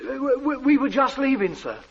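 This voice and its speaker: An actor playing an affable, apologetic middle-aged husband and father in a 1940s radio drama, with a husky voice. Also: extremely high pitch, animated, a little fast, full character voice. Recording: muffled 1940s radio recording.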